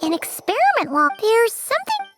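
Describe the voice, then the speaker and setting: A woman voicing a tiny, curious and excitable fairy companion in a video game, who refers to herself in the third person. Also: very high-pitched, moderate pace, faint music behind, heavily acted. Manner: talks about herself in third person, stammers when startled.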